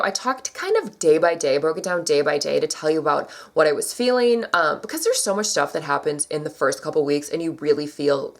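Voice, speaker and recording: Chatty young woman with a scratchy voice. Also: creaky. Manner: chatty, many fillers, animated pitch jumps. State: scratchy voice from allergies. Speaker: vlogger. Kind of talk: casual chat to camera.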